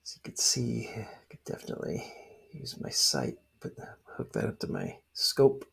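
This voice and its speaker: A man speaking softly for ASMR close to the microphone, breathy and often near a whisper, in a hesitant, rambling murmur. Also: on the low side, huge pitch swings, moderate pace. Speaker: ASMR creator playing a spy dad showing gear. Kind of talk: ASMR roleplay, soft-spoken.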